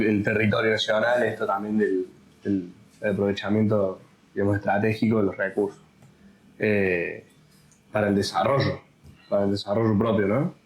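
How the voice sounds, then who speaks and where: A man's voice: on the low side, low-quality recording. An Argentine man co-hosting, chatting casually in an interview. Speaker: co-host. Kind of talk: casual chat.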